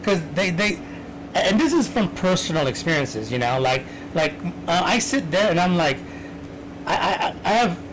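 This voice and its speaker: A man's voice: high voice, big pitch swings, moderate pace. A man hosting a casual chat, rambling with many restarts as he works through his thoughts. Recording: clear background noise.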